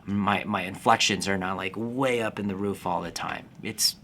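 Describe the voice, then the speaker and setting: Man, 40s, born in the Philippines, deep voice, calm slow vlog talk, bright tone. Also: slight background noise. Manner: calm, slow, unhurried delivery. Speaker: fitness business coach. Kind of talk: casual talking-to-camera vlog.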